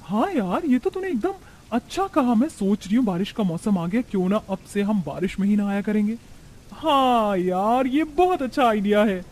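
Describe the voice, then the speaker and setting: A man acts out a brazen young modern daughter-in-law for an animated tale. The voice is high and sassy. She sounds haughty and defiant and drops English words into her talk. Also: normal speed. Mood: pleased, complaining.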